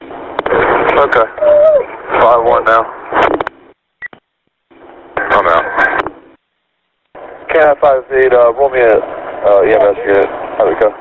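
A man's voice: mid-range voice, takes it slowly, long pauses, phone-quality sound. Male police officer on harsh, noisy radio. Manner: clipped, uses call codes. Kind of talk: terse police radio traffic.

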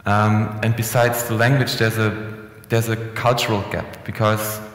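A young man giving a relaxed, conversational conference talk with frequent restarts and fillers, speaking into a headset mic in a conference room. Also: fairly low pitch, normal speed. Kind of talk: conference presentation. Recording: echoey hall with long reverb.

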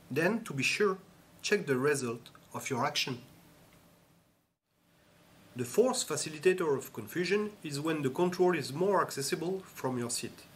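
A man giving scripted instructional narration in a measured, instructive way. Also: fairly high pitch, a little hiss or hum. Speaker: training narrator.

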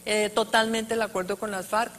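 A middle-aged woman speaking earnestly on a conference panel, with pauses between phrases, into a handheld mic on stage. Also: medium pitch, level tone, fairly bright tone, slight background noise. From Colombia. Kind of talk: panel discussion answer. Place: large conference hall stage.

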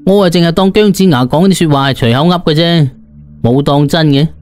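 A male storyteller with a slightly high voice. He narrates conversationally and expressively, acting out the tale as he goes, over faint background music. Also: brisk, darkish tone. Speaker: audiobook narrator. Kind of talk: dramatised first-person story narration in colloquial Cantonese.

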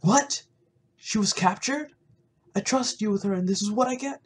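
A man's voice: sits high, moderate pace, husky, pitched above the performer's own voice. A man voicing a stern, distrustful fantasy king and father in a game, demanding and indignant. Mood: outraged.

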